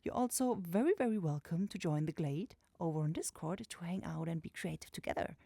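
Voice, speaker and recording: A woman hosts in a casual, playful ASMR manner. Her voice is slightly low and quite mellow, sometimes slipping into whisper. It is recorded close and dry. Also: brisk, creaky, dry recording. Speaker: creator and host of audio roleplay channel. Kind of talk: casual, soft ASMR outro chat.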